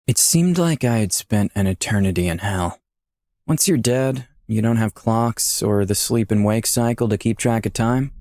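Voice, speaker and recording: A man's voice: fairly low pitch, normal speed, a little mellow, no room sound, clean studio sound, close to an everyday voice. An actor playing a wry, self-deprecating dead young artist who narrates his afterlife in a casual storytelling way for a visual novel. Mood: wry, resigned. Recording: music playing behind the voice.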